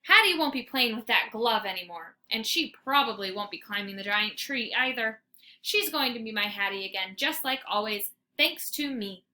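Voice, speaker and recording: A woman voicing an eager, boastful small young male dog in a storybook, excitable and cocky. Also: on the high side, normal speed, a little hiss or hum. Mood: proud, excited.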